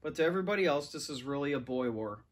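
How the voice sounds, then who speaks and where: A man's voice: fairly high pitch, expressive, fast talker. A man around forty reading a children's novel aloud indoors, recorded on a camera a little way off. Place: large furnished living room, indoors. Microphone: camera or phone a few metres away.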